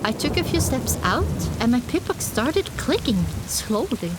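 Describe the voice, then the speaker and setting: A woman narrating a first-person fantasy audiobook in an expressive, dramatic storytelling manner. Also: fairly high pitch, measured pace, background noise.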